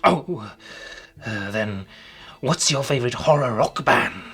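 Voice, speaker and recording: An actor playing a menacing slasher-film prank caller in a horror parody skit, with a husky, gravelly, halting delivery. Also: medium pitch, lively intonation, a bit bright, heavily acted. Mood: creepy, probing.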